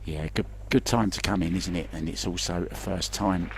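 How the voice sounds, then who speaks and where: A man's voice: sits low, normal speed, husky. A man commentating pool remotely, chatty and joking, asking his co-commentator questions. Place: remote booth.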